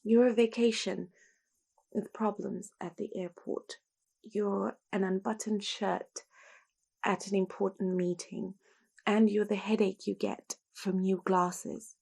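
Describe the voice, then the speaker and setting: Slow, husky woman reciting a poetic monologue. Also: medium pitch, level tone, darkish tone. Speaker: monologue performer.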